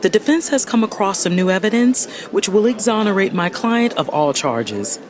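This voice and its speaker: An actress playing a fiery, exasperated lawyer and big sister, speaking emphatically in a dramatic audio story. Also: female voice, medium pitch, animated, normal speed, close to an everyday voice. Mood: composed, insistent. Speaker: audiobook narrator. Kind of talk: dramatic first-person story narration.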